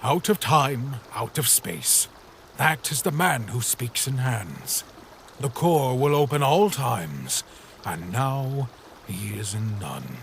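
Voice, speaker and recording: A serene, riddling ferryman who drifts through his words at an unhurried pace, as a man puts him on. His sing-song lines rise and fall with a lot of movement. The recording has faint background noise. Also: medium pitch, very animated, vocal fry, exaggerated voice. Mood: mysterious. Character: cryptic hooded boat ferryman, serene and riddling. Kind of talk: fan comic dub.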